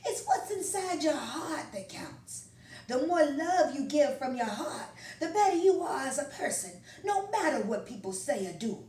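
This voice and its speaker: Old storybook grandpa, put on by a woman: folksy drawl, firm and loving, lively delivery, roomy distant recording. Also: high-pitched, normal speed, voice pushed up. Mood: firm, warm.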